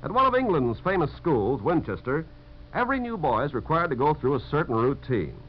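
An American man giving a scripted 1950s radio talk in a conversational, measured way. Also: a little high, big pitch swings. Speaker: narrator of message about servicemen. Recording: dull, hissy 1950s radio recording.

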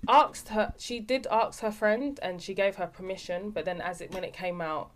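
A woman's voice: mid-range voice, steady tone, normal speed. A young woman, a podcast guest telling the hosts about her acting work in a casual chat and often restarting her sentences. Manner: talkative, restarts sentences while explaining.

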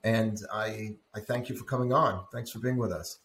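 A man's voice: on the low side, fairly even intonation, normal speed, creaky, a bit bright, roomy sound, slight background noise. A man hosting a podcast interview, welcoming his guest with enthusiasm and restarting his sentences now and then. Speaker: podcast host, rabbi.

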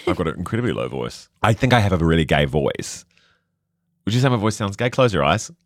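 A man in his thirties with a New Zealand accent, chatting as a comedian guest, animated and self-mocking. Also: low-pitched, quick pace, no room sound, clean studio sound. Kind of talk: casual chat.

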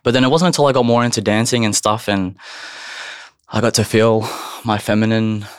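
A man's voice: lowish voice, fairly even intonation, fairly quick, smooth, dry recording, studio quality. A man chatting candidly and informally as an interview guest, in blunt casual language.

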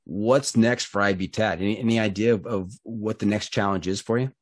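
A man's voice: fairly low pitch, fairly even intonation, a little fast, no echo, clean studio sound. A man hosting a podcast, asking questions in a joking, easygoing way. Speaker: podcast host. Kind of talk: casual interview chat. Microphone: studio microphone.

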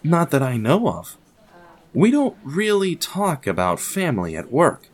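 A man's voice: a little high, huge pitch swings, unhurried, pitched above the performer's own voice. A man playing an irritated but polite, reluctant office editor in an audio drama. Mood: strained politeness.

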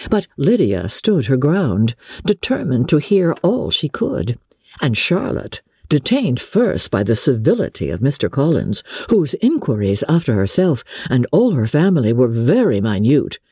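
A woman with a husky voice reading classic literature as a measured, expressive dramatic narrator. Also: extremely low pitch, no room sound, clean studio sound. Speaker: audiobook narrator.

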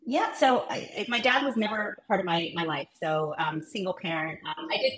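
A woman's voice: mid-range voice, moderate pace, a bit bright. A woman from Ghana in her thirties, a physician turned founder, chatting as a guest with many ums and restarts. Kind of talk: casual interview conversation. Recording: roomy echo.

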